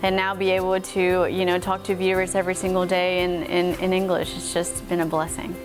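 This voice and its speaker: A woman's voice: lowish voice, completely flat delivery, a little fast. A woman in her thirties from Mexico with a light Texas accent, a morning news anchor talking earnestly and gratefully about her life. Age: 30s. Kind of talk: personal interview talk. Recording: music playing underneath.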